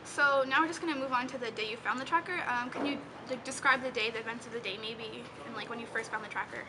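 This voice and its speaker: A young woman with a fairly high voice works through interview questions, casual and a bit hesitant, filling gaps with "like" and "okay". Clear background noise sits behind her. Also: fast talker. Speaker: student interviewer.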